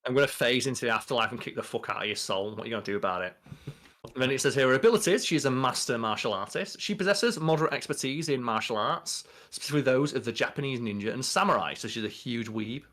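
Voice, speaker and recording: A man's voice: mid-range voice, fast talker, fairly bright tone. A young adult man chatting casually as a podcast co-host, reading out entries and commenting in an informal, sweary way. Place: small bedroom.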